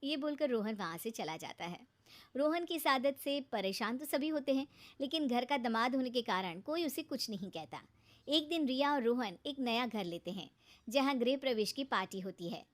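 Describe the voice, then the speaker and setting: A woman's voice: on the high side, animated, normal speed. A woman reading scripted narration for a cartoon moral story.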